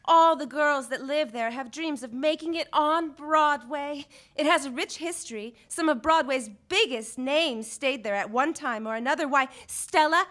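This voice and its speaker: A woman's voice: high voice, moderate pace, hardly any pauses, a bit bright. A woman playing an earnest, naive young dancer seeking a detective's help in a 1940s-style radio play. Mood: eager. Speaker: actor playing the client. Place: theatre stage before a studio audience.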